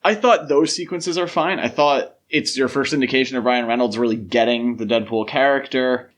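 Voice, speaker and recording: A man's voice: mid-range voice, moderate pace. A man hosting a podcast, discussing film in a casual but detailed, explanatory way. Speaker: podcast host.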